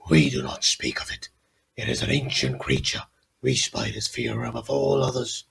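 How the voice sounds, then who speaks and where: Man voicing an ancient, gravelly giant spider. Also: fairly low pitch, big pitch swings, moderate pace, background noise, heavily acted. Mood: grave, guarded. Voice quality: gravelly, creaky. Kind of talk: fan audio drama acting.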